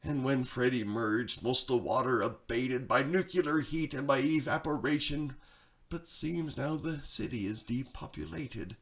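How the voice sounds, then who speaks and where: Male storyteller, slow measured pace, creaky gravelly tone, theatrical verse narration, hushed to excited. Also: medium pitch. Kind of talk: dramatic rhyming story reading.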